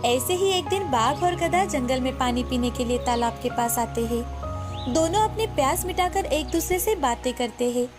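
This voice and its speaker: A woman's voice: high voice, expressive, moderate pace, music in the background. A woman storyteller reading scripted moral stories for children in narration style.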